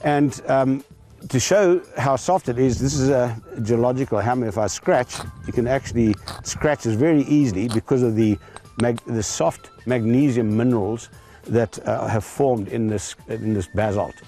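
A man's voice: medium pitch, moderate pace, dark tone, soft background music. An older man, a geologist, speaking outdoors in a precise, technical way with some restarts. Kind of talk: explanatory field talk. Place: outdoors in dry bush.

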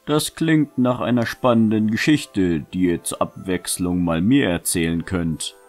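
Man acting deep-voiced, measured fatherly knight. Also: soft background music. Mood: calm, probing. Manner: measured, formal, gently admonishing. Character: seasoned male knight, measured, fatherly mentor to youngsters. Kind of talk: fantasy audio drama acting.

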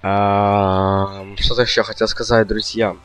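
A man's voice: fairly low pitch, level tone, slow pace, faint music behind. A young man giving casual gameplay commentary, rambling indecisively and slangily to his viewers. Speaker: gaming video host.

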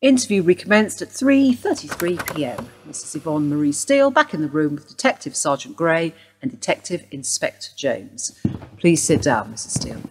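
An actress playing a woman detective who questions a suspect in a brisk, formal way. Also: sits low, expressive, slight background noise, rough recording. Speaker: police detective. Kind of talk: radio play acting.

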